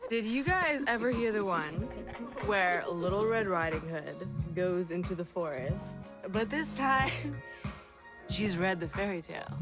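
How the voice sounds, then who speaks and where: An actress playing a tipsy, rowdy woman in a video game, telling bawdy stories in a drawn-out, giggly way. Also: medium pitch. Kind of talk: cinematic video game acting.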